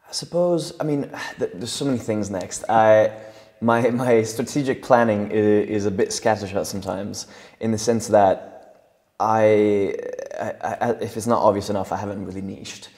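A young man whose first language is Italian, speaking as a guest coach in a relaxed studio interview on a lapel mic. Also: fairly low pitch, moderate pace, big-room sound. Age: young adult. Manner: thoughtful, gestures while talking, frequent restarts. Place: studio set with leather sofas and brick wall.

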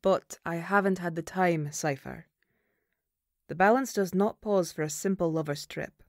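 A woman playing a stern, duty-bound banshee mother in an audio roleplay, speaking formally and gravely. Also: lowish voice, unhurried, no room sound, studio quality. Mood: wistful.

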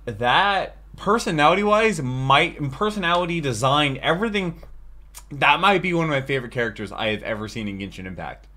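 Bright-voiced American reaction host, a man. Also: fairly high pitch, lively intonation, brisk, a little hiss or hum. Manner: enthusiastic, chatty. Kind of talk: casual reaction commentary. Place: small furnished home room.

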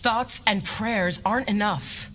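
An actress playing a tough, confident young soldier in a video game, answering with firm, punchy retorts. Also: female voice, medium pitch, takes it slowly, fairly bright tone, slight background noise, poor audio. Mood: earnest, firm. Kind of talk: video game dramatic voice acting.

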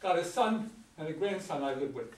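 A man's voice: a little high, lively intonation, normal speed, natural voice. An older man reading the part of a talkative, folksy old ex-convict hitchhiker in a staged script reading. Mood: proud, chatty. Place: meeting room with long table, indoors. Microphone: far camera. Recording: roomy, distant mic, some ambient noise.

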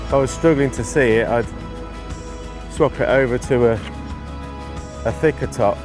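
A middle-aged man giving relaxed fishing instruction outdoors, in unhurried, halting phrases as he works. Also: medium pitch, over music. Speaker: angling instructor, presenter. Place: outdoors on an open lakeside bank.

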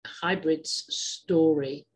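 Chatty woman host, slightly low voice. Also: fairly even intonation, extremely slow, a little mellow, rough recording. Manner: chatty, digressive, laughs easily. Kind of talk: casual interview chat.

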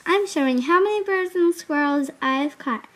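A woman's voice: high-pitched, moderate pace, close to an everyday voice. A woman voicing a boastful stray cat who brags about hunting in a cartoon, sprinkling in Spanish words. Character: proud street cat hunter, boastful.

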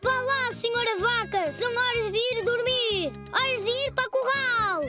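A woman's voice: very high-pitched, normal speed, over loud music. A woman voicing a curious, eager bald four-year-old boy in a dubbed cartoon, talking in a childlike, chatty way. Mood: cheerful. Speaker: lead child character.

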